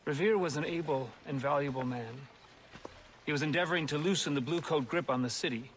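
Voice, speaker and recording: Guy playing earnest colonial rebel gentleman, voice a bit high, formal wording, faint street noise. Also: fairly quick, natural voice. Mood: grave, resolute. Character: earnest, well-spoken revolutionary gentleman, game ally. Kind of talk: scripted game drama dialogue.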